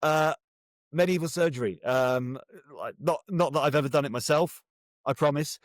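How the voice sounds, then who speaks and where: A man's voice: a little high, moderate pace, no room sound, studio quality. A man with a British accent, speaking as a guest author in a casual interview, jokey, self-deprecating and rambling a little.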